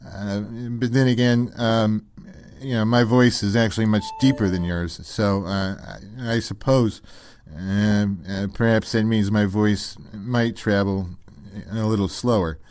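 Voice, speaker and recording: A man playing a mild, pompously wordy pseudo-intellectual radio co-host in a comedy sketch, deadpan and very hesitant, stammering through his musings. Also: lowish voice, measured pace, clear, resonant tone, dry recording, studio quality, natural voice. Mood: ponderous, musing.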